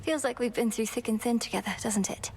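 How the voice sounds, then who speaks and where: A woman's voice: fairly high pitch, fairly quick, a little mellow, a little hiss or hum, lightly acted. A woman playing an earnest, composed young princess of the underworld in a video game, speaking somewhat formally. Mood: gentle, wistful.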